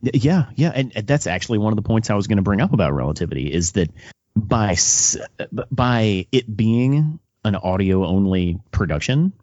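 A man with a fairly low, husky voice interviews a guest casually. He is laid-back and tends to restart his phrases. The sound is dry and somewhat muffled. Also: lively intonation, normal speed, a little mellow, no echo. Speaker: podcast interviewer.

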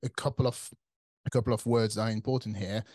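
A man's voice: fairly low pitch, fairly even intonation, quick pace, darkish tone, dry recording. A man in his forties from France with a French accent, talking casually as an interview guest, thinking aloud with fillers and restarts. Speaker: guest, tech company CTO.

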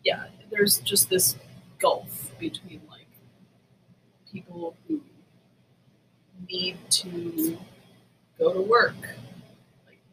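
A woman's voice: mid-range voice, very animated, drawn-out words, gravelly, pauses often, fairly bright tone, a little hiss or hum, low-quality recording. A woman talking casually and conversationally as a podcast co-host.

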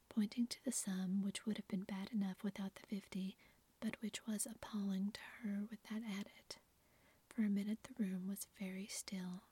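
A woman's voice: mid-range voice, breathy edge. Woman reading a story in a whisper. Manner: soft unvoiced whisper. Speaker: narrator.

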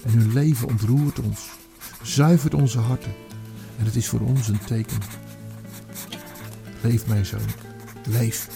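An actor playing a remorseful old army commander counselling his son, in a solemn, deliberate radio drama monologue. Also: mid-range voice, fairly even intonation, creaky, music in the background. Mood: tender, solemn. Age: older.

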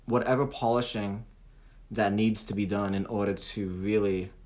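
A young man from Hong Kong with a light British-tinged American accent, a singer-songwriter guest talking haltingly in a casual interview. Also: on the low side, steady tone, a little mellow. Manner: halting, many restarts and fillers.

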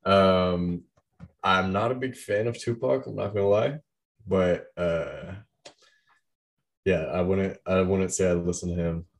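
A young man with a deep voice chatting casually about the music he loves, rambling with lots of fillers. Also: unhurried, pauses often. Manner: rambling, heavy use of "like".

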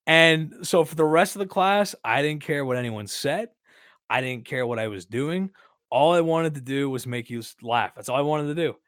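A man in his early twenties telling a story in a casual podcast style, rambling and halting, often repeating himself and swearing. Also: on the high side, a bit bright, no echo, studio quality. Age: early 20s. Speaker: podcast host.

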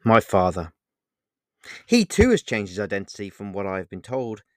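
A man's voice: fairly low pitch, sing-song, normal speed, no echo, studio quality. A man voicing an ancient god in a dramatic fantasy story, sardonic and scornful, speaking in a theatrical, declamatory way. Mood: bitter, derisive. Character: wild-eyed ancient male god Pan, sardonic, bitter and scornful.

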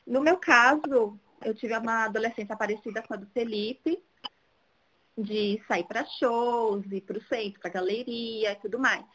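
A woman's voice: fairly high pitch, measured pace, fairly bright tone, slight background noise, poor audio. A woman, talking casually as an interview guest. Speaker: interview guest, social media city guide creator. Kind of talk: casual chat.

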